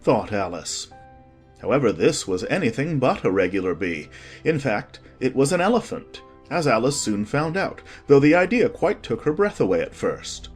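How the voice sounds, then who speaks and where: A man narrating a children's storybook in an expressive, scripted audiobook style. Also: medium pitch, normal speed, faint music behind.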